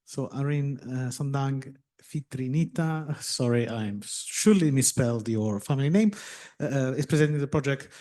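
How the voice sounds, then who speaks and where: Mellow-voiced man moderating a session. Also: mid-range voice, normal speed. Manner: polite, formal. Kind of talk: chairing a conference session.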